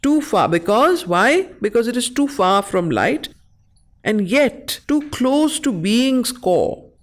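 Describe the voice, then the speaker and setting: A woman with an Indian accent reciting verse and explaining it as a study circle facilitator, in a deliberate, emphatic, explanatory way. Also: medium pitch. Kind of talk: recitation of verse with teaching commentary.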